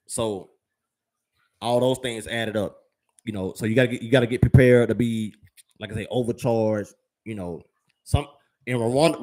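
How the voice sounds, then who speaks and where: A man giving viewers casual travel advice, rambling with fillers and repeated words and using slang. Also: medium pitch. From United States. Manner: uses slang, addresses viewers as fam and y'all. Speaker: travel vlogger.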